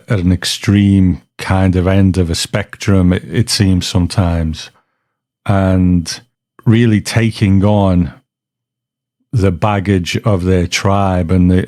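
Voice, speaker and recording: A man's voice: lowish voice, darkish tone, no echo, clean studio sound. A man hosting a podcast in a casual, conversational way, reflective and given to asides as he introduces listeners. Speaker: podcast host.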